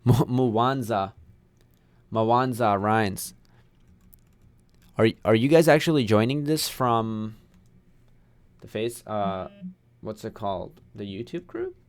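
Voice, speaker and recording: Young male course instructor from Pakistan, smooth clear voice, easygoing livestream host. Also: fairly low pitch, slow pace, long pauses. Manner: chatty, reads viewer names and questions aloud. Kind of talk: casual livestream Q&A.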